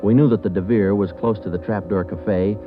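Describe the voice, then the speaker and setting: An actor playing a calm police sergeant who narrates the case in a flat, clipped, matter-of-fact way, in 1940s radio drama. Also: male voice, on the low side, a little fast, lightly acted. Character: terse male police sergeant, calm and methodical. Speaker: narrator and detective. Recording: muffled 1940s radio broadcast with music.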